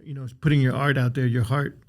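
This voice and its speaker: A man's voice: mid-range voice, level tone, quick pace. A man hosting a casual interview, asking rambling questions full of fillers. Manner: rambling, lots of "you know" fillers. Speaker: interviewer host.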